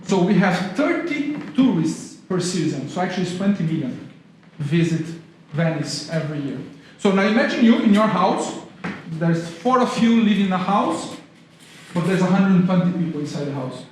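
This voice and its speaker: Brazilian man, high voice, echoey hall. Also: big pitch swings, moderate pace, a little mellow. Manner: engaging, quizzes the audience. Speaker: guest speaker, tourism lecturer. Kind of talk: public talk at an event. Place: event hall. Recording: echoey hall with faint noise.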